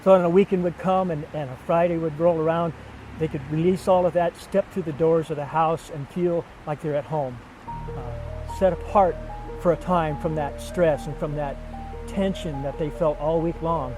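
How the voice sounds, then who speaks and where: A man speaking earnestly and in measured phrases, giving a personal testimony as a missionary. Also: a little high, steady tone, a little mellow, poor audio. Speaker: missionary couple member seeking support. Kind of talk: documentary-style personal testimony and appeal. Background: background music.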